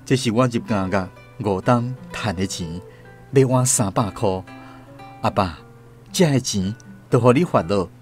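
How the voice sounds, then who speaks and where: An actor with a Taiwanese accent playing a remorseful, humble grown son back from prison in a radio drama. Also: male voice, fairly low pitch, animated, unhurried, faint music behind. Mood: earnest.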